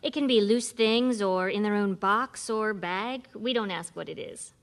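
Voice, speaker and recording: A woman's voice: medium pitch, a little slow, lightly acted. An actress playing a practical, wry woman who runs a hotel, in a businesslike manner, in a radio mystery. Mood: explaining. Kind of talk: radio mystery drama acting.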